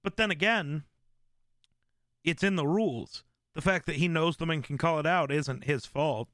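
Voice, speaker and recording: Smooth-voiced male streamer, rambling chat. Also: on the high side, moderate pace, no echo, studio quality. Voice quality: relaxed, smooth voice. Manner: rambling, with many fillers and restarts. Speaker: streamer host. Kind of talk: casual live-stream chat.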